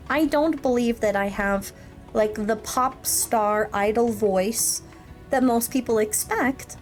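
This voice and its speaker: Slow-talking, chatty woman streamer. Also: a little high, darkish tone. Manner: chatty and rambling, laughs often, with frequent fillers. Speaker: streamer and military veteran. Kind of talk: casual livestream chat and storytelling.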